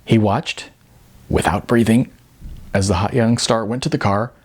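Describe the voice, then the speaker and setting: A man's voice: on the low side, measured pace, husky. A man reading his novel aloud as scripted audiobook narration. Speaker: author reading own novel.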